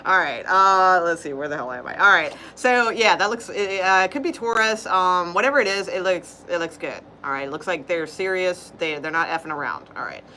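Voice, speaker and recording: A woman's voice: on the low side, lively intonation, brisk, few pauses, pushed and bright, slight background noise. Chatty woman reading tarot cards. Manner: rambling, chatty, laughs often, frequent fillers. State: losing her voice. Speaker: tarot reader. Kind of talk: casual live tarot reading.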